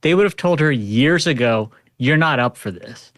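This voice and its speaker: A man's voice: mid-range voice, animated, normal speed, fairly bright tone, no echo, clean studio sound. An American man giving casual political commentary as a panelist, emphatic and slangy.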